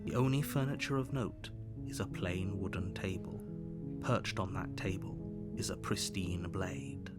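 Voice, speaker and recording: A man voicing a wry, ominous storybook narrator in a game, with a dry, sardonic, measured delivery. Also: low-pitched. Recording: game audio with loud music underneath.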